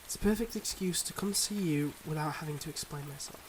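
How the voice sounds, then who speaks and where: A man playing a devoted but guarded mob boss boyfriend in an intimate ASMR roleplay, speaking in earnest, measured confessions. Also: a little high, a little hiss or hum, close to an everyday voice, rough recording. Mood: guarded, explaining.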